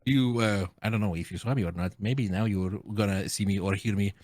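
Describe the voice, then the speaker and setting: A man's voice: fairly low pitch, fairly even intonation, a little fast, darkish tone, no room sound. A man with a Russian accent, a native Russian speaker, chatting casually and jokingly as a guest streamer giving his opinions. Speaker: guest, game streamer and content creator. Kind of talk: casual chat, giving opinions on game audio.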